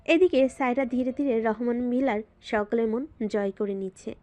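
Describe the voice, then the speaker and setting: A woman's voice: a little high, moderate pace, darkish tone. A woman narrating a scripted romantic story in a dramatic style.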